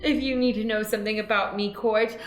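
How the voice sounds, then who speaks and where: A woman playing an earnest female monk on a spiritual journey in an improvised radio play, speaking in halting, grave speeches. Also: a little high, fairly even intonation, natural voice. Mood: solemn, hesitant. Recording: roomy echo with faint noise.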